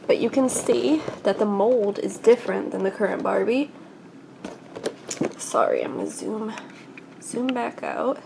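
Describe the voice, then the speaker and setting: A woman's voice: a little high, animated, a little slow, creaky, long pauses, noisy background. A congested young woman chatting enthusiastically as she reviews a collectible doll in a casual vlog. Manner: chatty and enthusiastic, with frequent fillers. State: congested from a recent illness.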